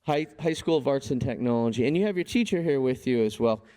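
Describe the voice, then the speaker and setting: A man's voice: mid-range voice, animated, fast talker, dark tone. A man hosting a live event, introducing poets and hyping the audience. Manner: upbeat, hypes the crowd. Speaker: event host.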